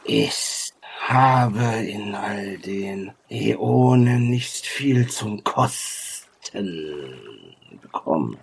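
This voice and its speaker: An ancient reptilian sage from a fantasy tabletop game. He speaks extremely slowly and pompously, in a husky, creaking voice. He sounds arrogant and weary of the world. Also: fairly low pitch, exaggerated voice. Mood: haughty, probing. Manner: slow, drawn-out, pompous delivery. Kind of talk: in-character tabletop roleplaying.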